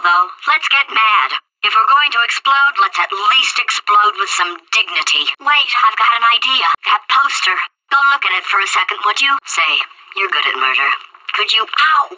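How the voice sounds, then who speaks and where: A woman voicing a sarcastic female computer AI stuck in a potato, with a robotic processed voice and deadpan insults. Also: mid-range voice, poor audio. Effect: robotic synthetic processing. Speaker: video game character. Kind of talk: game voice acting.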